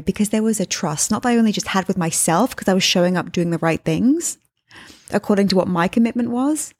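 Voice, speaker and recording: A woman's voice: medium pitch, darkish tone, no room sound. A woman in her thirties from England, whose accent mixes English and Australian, tells a story in a chatty podcast monologue and addresses listeners as her friends. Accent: English and Australian mix. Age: 30s. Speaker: podcast host and life coach.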